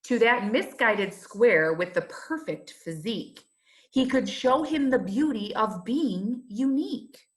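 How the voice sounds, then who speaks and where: A woman reading a rhyming children's storybook aloud, in a measured and expressive teacher's manner. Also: on the high side, poor audio.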